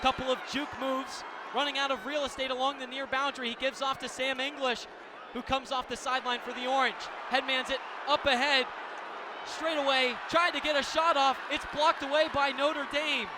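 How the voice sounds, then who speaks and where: High-pitched male lacrosse play-by-play announcer, noisy venue. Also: flat delivery, normal speed, crowd noise. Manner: vivid, clipped running description. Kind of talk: live radio sports commentary. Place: sports venue broadcast position. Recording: loud arena noise behind commentary. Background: loud game ambience.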